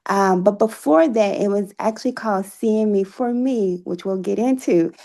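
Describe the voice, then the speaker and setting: A woman chats as a guest in an interview. Her voice sits at a middle pitch with noticeable creak. She talks effusively and laughs easily. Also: moderate pace, warm tone. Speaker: interview guest, author and playwright. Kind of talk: casual interview conversation.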